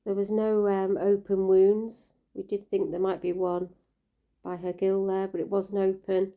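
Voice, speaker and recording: A woman with a croaky voice, gently talking through caring for her koi in a casual vlog. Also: medium pitch, little pitch movement, a little slow, dull audio. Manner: gentle, caring, chatty with fillers. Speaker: koi keeper vlogger.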